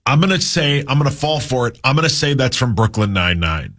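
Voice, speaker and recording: A man's voice: medium pitch, sing-song, fairly quick, a bit bright, no room sound, studio quality. A man co-hosting a sports radio show, joking and self-deprecating in casual banter.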